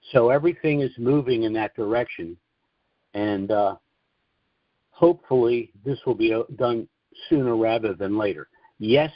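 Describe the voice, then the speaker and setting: Male conference call host, mid-pitched, measured and opinionated briefing style, dull low-fidelity audio. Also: no echo. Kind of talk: informal monologue commentary and briefing. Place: conference call, dry close sound.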